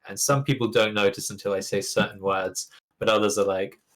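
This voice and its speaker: A man's voice: lowish voice, level tone, a little fast, fairly bright tone. A young man from Ukraine with an English accent, whose first language is Russian, chatting as a guest in an interview and rambling with restarts. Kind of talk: casual interview conversation.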